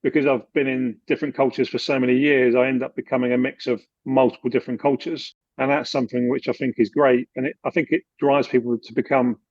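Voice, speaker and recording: A man from the UK chatting in an interview, relaxed, with many fillers and restarts. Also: mid-range voice, quick pace, few pauses, no room sound, highs cut off. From United Kingdom. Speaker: guest, expat finance expert. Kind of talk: casual interview conversation.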